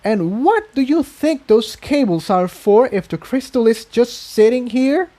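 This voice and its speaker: A man voicing a sharp-tempered female unicorn with a waspish, defensive way of talking in a storybook reading. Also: very high-pitched, animated, measured pace, voice pushed up. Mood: waspish, angry. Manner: waspish, forceful.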